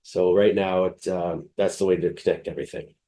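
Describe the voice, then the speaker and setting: Male presenter, nasal from a cold, low warm voice, loose and halting delivery. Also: flat delivery. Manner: casual, hesitant, many fillers and restarts. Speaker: software demonstrator. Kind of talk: screen-share software walkthrough.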